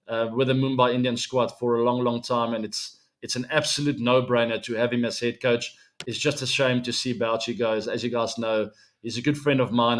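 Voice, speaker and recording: A man in his forties with an Afrikaans-accented South African English voice, hosting a casual sports show and chatting to his viewers with lots of fillers. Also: medium pitch. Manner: relaxed, chatty, many fillers, addresses fans as guys. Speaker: show host.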